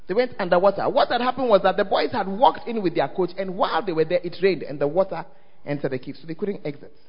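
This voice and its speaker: A man with a Ghanaian English accent preaching a lively sermon as pastor, often calling out to the congregation to check that they are listening. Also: sits high, fast. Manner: call-and-response, often asks the crowd "are you there?". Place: church hall. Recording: dull, echoing church hall recording.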